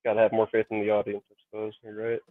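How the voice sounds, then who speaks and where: Low monotone man on a tinny line. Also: very fast, dry recording. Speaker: comic writer. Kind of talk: casual podcast chat. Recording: dry, tinny phone-like line.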